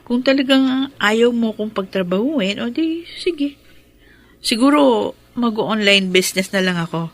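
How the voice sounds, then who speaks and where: An actress playing a gentle, earnest young newlywed wife in a melodramatic radio drama. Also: female voice, fairly high pitch, animated, a little slow, pauses often. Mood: eager. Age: young adult.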